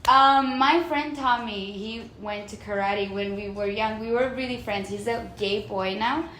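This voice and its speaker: A young woman from Brazil with a Brazilian accent, a native Portuguese speaker, chatting casually as a podcast co-host in an animated, giggly way. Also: a little high, measured pace. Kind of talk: casual chat between friends. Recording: roomy echo with faint background noise.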